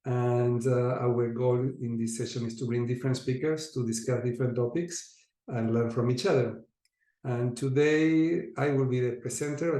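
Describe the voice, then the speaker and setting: A man with an Argentine accent, hosting and facilitating an online group session, inviting and lively, often restarting his sentences. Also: medium pitch, reverberant. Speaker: host and facilitator. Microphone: video call.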